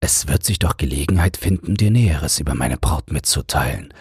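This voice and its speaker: A man with an extremely low, husky voice reads literary prose for a bedtime audiobook. His delivery is calm and measured, recorded very dry and close. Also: no room sound. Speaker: audiobook narrator.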